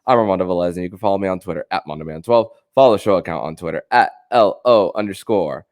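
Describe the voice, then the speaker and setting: Low-voiced man hosting a sports podcast. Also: fast, darkish tone. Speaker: podcast host.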